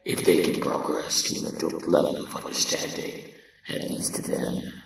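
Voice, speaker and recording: A woman voicing a cold, calculating male skeleton scientist who narrates an experiment log in a measured, clinical manner for a comic dub. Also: a bass voice, steady tone, vocal fry, full character voice. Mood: clinical, troubled. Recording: cavernous long reverb, distant, under music.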